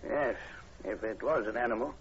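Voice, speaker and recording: Man acting a gravelly, measured professor. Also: mid-range voice, natural voice. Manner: measured, thoughtful, polite. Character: calm, analytical male professor investigating strange killings. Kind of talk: 1940s radio horror acting. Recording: muffled, noisy 1940s radio recording.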